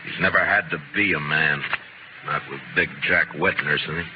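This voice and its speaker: An actor playing a stern, steady Old West marshal in a 1950s radio drama, speaking in terse, dry commands. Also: male voice, rumbling low, moderate pace. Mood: relaxed, dry. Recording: noisy, muffled old-time radio recording.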